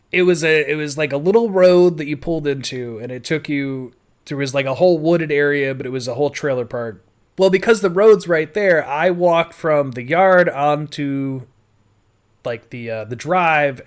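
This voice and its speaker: A man with an American accent telling personal stories casually, rambling informally and swearing. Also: fairly high pitch. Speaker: podcast host.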